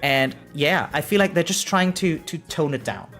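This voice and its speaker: A man's voice: a little high, lively intonation, moderate pace, fairly bright tone, faint music behind. A man who streams games, giving informal, emphatic livestream commentary and often checking for agreement. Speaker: variety game streamer, host. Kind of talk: casual livestream commentary reacting to chat.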